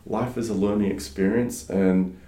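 Deep-voiced man in an echoey room. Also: moderate pace. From Australia. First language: French. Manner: relaxed, frequent fillers and restarts. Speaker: guest, startup advisor. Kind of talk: casual interview conversation. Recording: roomy echo.

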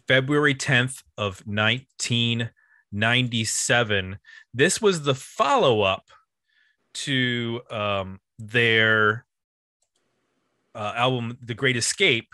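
A man's voice: mid-range voice, expressive, slow pace, long pauses, bright, forceful tone, no room sound, clean studio sound. A man with a nasal Buffalo, New York accent hosting a casual music podcast in a joking, chatty, digressive way. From US East Coast. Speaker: podcast host.

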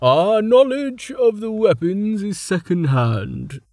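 A solemn man intones sayings in a slow, grave cadence, as a male narrator takes the part. The close recording has no room echo. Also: sits high, animated, dark tone, voice pushed up, full character voice. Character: solemn, proverb-quoting man.

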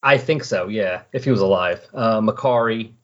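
A man with a slightly husky voice in casual, rambling, enthusiastic conversation as a podcast host. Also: lowish voice, normal speed. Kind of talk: casual chat about comic books. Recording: dull, lo-fi recording.

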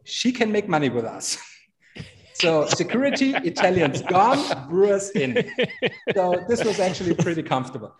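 A man's voice: sits high, big pitch swings, moderate pace, long reverb tail. A man in his thirties from Austria, speaking with an Austrian accent, a brewer telling stories in a chatty, laughing way. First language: German. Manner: chatty, laughs often, many fillers. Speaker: brewer guest. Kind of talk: casual storytelling.

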